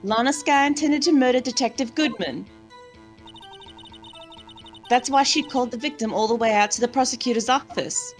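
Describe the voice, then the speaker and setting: A high-pitched, haughty lunch lady acted by a woman who fancies herself a detective. She talks with theatrical condescension, like a storyteller in a courtroom game, over faint music. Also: normal speed, long pauses, close to an everyday voice. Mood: smug, dramatic. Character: haughty, theatrical lunch lady witness, proud amateur sleuth. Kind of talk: live fan dub of a courtroom video game.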